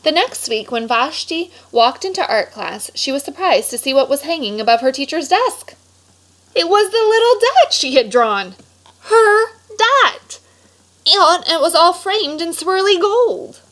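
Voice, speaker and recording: A woman's voice: very high-pitched, very animated, measured pace, a bit bright. A woman teacher reading aloud and explaining to children, instructive and checking in with questions.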